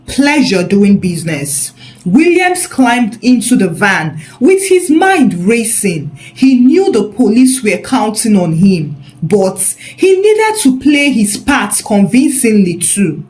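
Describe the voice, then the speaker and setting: A woman narrating a scripted story in a measured, expressive, dramatic way. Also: fairly high pitch, rough recording.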